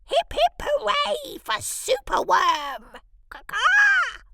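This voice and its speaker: Shrill, croaking, menacing crow. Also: female voice, huge pitch swings, extremely slow, dry recording, full character voice. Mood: gloating, menacing. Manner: loud, gloating chanting. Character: menacing crow servant, croaking out a gloating chant. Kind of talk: storybook character voice.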